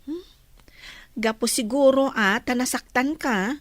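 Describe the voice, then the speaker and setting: A woman voices a young, observant friend in an audio drama. Her voice is high and she takes her time, sounding frank and matter-of-fact. The sound is dry. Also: measured pace, no room sound. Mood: reasoning. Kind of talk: radio-style audio drama acting.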